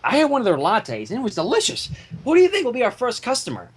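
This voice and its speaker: Woman as a proud, excitable male pony baker. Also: on the high side, fast talker, slightly rough, voice pushed up, heavily acted. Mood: pleased. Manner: boastful, enthusiastic.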